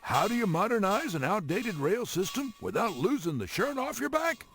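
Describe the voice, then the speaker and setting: An actor playing a wry, folksy, teasing railroad narrator in a game cutscene, giving theatrical briefings with drawn-out emphasis. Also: male voice, sits high, big pitch swings. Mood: wry, ominous. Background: music.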